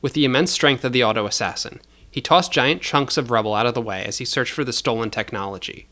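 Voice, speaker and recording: A man's voice: mid-range voice, fairly quick, bright, forceful tone. Man narrating dramatic audio fiction.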